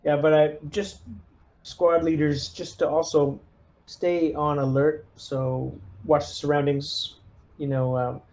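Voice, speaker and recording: A man's voice: mid-range voice, a little mellow. A man playing a lieutenant in a tabletop game, giving casual orders in a hesitant, deliberating way. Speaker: player acting as squad lieutenant in a tabletop game.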